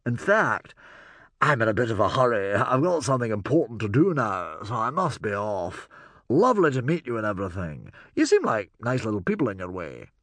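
A man's voice: fairly low pitch, very animated, fairly quick, a bit bright, no room sound, heavily acted. A man voicing a self-important middle-aged Viking hero, breezy, protesting and easily exasperated, in a storybook. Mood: breezy, hurried.

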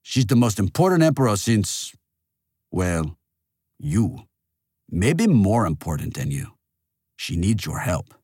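Ancient artificial king for an audiobook, played by a male narrator: pompous, lecturing, hugely animated pitch swings, close dry sound. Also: takes it slowly, long pauses, breathy edge, no echo, full character voice. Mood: emphatic, lecturing. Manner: theatrical, lecturing. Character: forceful, pompous male artificial monarch, centuries old, morally certain.

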